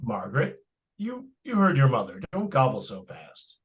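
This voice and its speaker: A man's voice: mid-range voice, wildly swooping pitch, normal speed. A man playing an indulgent but firm father in old-time radio drama, patronizing and a little stammering. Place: live stage radio-play performance.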